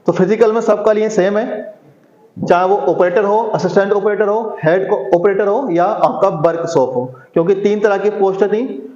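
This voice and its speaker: A man's voice: high-pitched, moderate pace, a little mellow. A man giving an exam-prep lesson, asking himself rhetorical questions, answering them and repeating key figures. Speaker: exam coaching teacher. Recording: echoey room, dull lo-fi sound.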